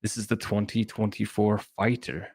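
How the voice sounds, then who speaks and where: A man co-hosting a tabletop gaming podcast in relaxed, friendly chat. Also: on the low side, moderate pace, slightly rough, no echo. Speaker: podcast co-host. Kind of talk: casual chat about tabletop game rules.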